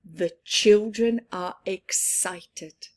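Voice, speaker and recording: A woman reading a picture book aloud to children, expressive and sometimes dropping to a whisper. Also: mid-range voice, extremely slow. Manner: expressive, drops into soft whispers. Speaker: children's story reader.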